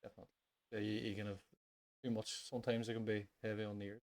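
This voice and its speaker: A man's voice: fairly low pitch, level tone, fast talker. A man with a Northern Irish accent chatting casually as co-host of a music podcast, with repetitive, emphatic phrasing. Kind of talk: casual chat about music.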